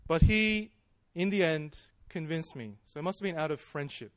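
A man's voice: fairly high pitch, normal speed, fairly bright tone, no room sound. A man, preaching in a chatty, self-deprecating storytelling way that often breaks into laughs. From Australia. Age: 30s. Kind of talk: personal storytelling sermon.

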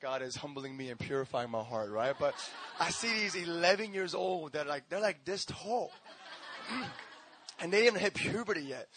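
A man's voice: a little high, lively intonation, moderate pace, background noise. A young man from Korea, a pastor, praying and then telling his testimony in a casual, slangy way, acting out quoted voices. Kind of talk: sermon with prayer and testimony.

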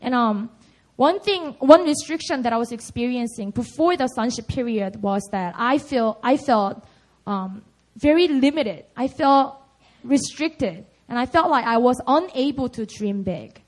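A woman's voice: on the high side, lively intonation, unhurried. A young woman with a Korean accent, speaking English as a second language, giving an earnest personal testimony at a church service, laughing often and leaning on "you know". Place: church hall.

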